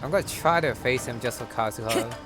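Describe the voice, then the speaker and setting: A male gamer thinks aloud in casual, understated playthrough commentary. His voice sits at a middling pitch, offhand in delivery, with very loud game music behind him. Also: a little fast.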